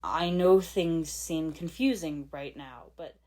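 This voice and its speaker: A woman voicing a bewildered evil warlord father in a story, speaking firmly and deliberately. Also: low-pitched, a little mellow. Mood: slow, gentle. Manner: firm, slow, puzzled. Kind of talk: fan fiction audiobook dialogue.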